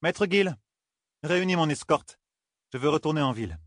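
A man's voice: on the high side, lively intonation, normal speed, fairly bright tone, no room sound, studio quality, voice pushed up. A man voicing a proud, commanding queen in an audiobook, curt and imperious. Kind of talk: audiobook character dialogue.

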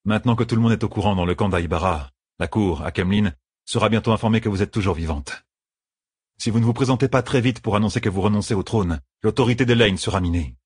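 A reader plays a devoted male soldier in an audiobook. He sounds low and somewhat husky, formal and earnest, with wounded pride under his passion. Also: fast, no echo, studio quality. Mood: formal, bitter.

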